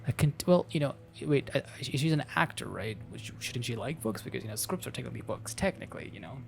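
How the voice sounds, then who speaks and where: Creaky-voiced man narrating a horror game. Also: medium pitch, lively intonation, fast, a little hiss or hum. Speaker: gaming host reading aloud. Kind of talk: dramatic narration with joking asides.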